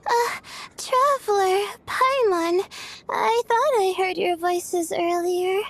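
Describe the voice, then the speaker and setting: A woman's voice: very high voice, slow, a bit bright, heavily acted. A woman voicing a drowsy, timid young woman student who sounds exhausted and weepy, stammering hesitantly. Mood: sleepy. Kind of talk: game cutscene dialogue.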